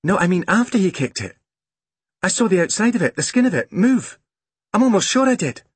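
A man voicing an eager, bossy young girl in a children's storybook, earnest and brisk like a big sister. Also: high voice, big pitch swings, husky, no echo, pitched above the performer's own voice, full character voice. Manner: earnest, brisk, corrects others.

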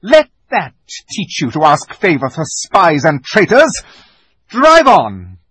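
A man doing a raging shrill witch queen. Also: huge pitch swings, slow, sharp tone, breathy edge, no room sound, exaggerated voice. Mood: cold. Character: cruel imperious witch queen, woman.

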